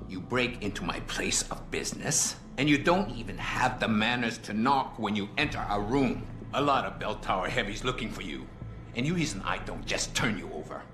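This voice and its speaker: A menacing middle-aged crime boss from a video game, played by a male actor, speaks in a low, drawn-out voice. He sounds smug and pointed, always bargaining. The voice echoes, with music playing behind it. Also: lively intonation, lightly acted. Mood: cold, mocking. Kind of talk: dramatic video game acting.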